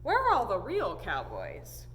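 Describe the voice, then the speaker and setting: A woman's voice: medium pitch, huge pitch swings, a little slow, fairly bright tone. A woman voicing a spirited, picky young ranch belle with sassy country phrasing. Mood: bored, complaining. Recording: echoey hall, audible background noise.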